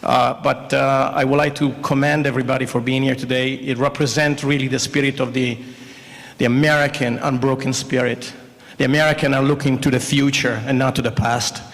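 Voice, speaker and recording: A man's voice: medium pitch, level tone, a little fast, a bit bright. A man with a non-native English accent giving a jovial, rambling campaign speech while standing. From not from Shreveport. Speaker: mayoral candidate. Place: large indoor event hall. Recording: long hall reverb.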